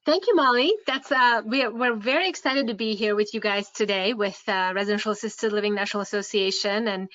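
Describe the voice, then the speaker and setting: A woman's voice: mid-range voice, fairly quick, hardly any pauses, fairly bright tone, dry recording. A woman with a Hungarian accent giving a webinar presentation as a care home administrator, hesitant with many fillers and restarts. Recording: dull, dry online call audio.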